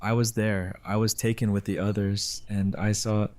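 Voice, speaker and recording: A man playing a grieving but resolute survivor giving a solemn, halting speech in an audio drama. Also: fairly low pitch, flat delivery, darkish tone, natural voice. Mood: haunted. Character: grieving, resolute survivor addressing his community.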